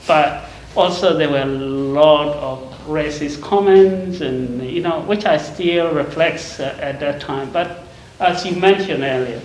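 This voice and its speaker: Measured man speaking on a panel. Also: fairly high pitch. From South Sudan. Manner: measured, with pauses and uhs. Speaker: panel speaker, community worker. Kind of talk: panel remarks. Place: community forum venue. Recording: echoey hall, light noise.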